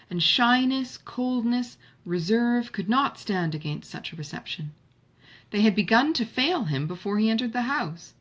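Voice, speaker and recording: A woman narrating a classic novel as an audiobook reader, in an expressive scripted literary style. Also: mid-range voice.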